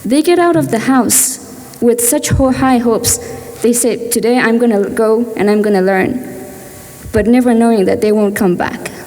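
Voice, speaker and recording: Earnest teenage girl, halting speech. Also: on the high side, a little mellow, in a large hall, rough recording. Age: teens. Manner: earnest, with frequent restarts. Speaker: student speaker. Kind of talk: speech at a gala. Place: large event hall.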